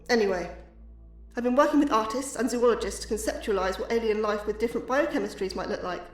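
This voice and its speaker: A woman's voice: on the high side, a little fast, lightly acted. A woman playing an enthusiastic but anxious astrobiologist giving an academic lecture in an audio drama, earnest and slightly awkward. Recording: echoey, distant hall with music.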